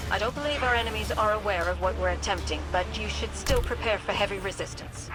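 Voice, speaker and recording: A woman's voice: medium pitch, close to an everyday voice, poor audio. A woman playing a composed, alert operations handler who gives precise instructions in a video game. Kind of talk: scripted game mission guidance.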